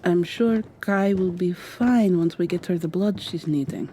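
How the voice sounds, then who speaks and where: Woman acting an anxious, mellow-voiced caring medic. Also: lowish voice, unhurried, slight background noise, lightly acted. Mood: concerned, earnest. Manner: hesitant, apologetic, earnest. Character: anxious, gentle, caring medic helping a captive escape. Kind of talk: ASMR roleplay.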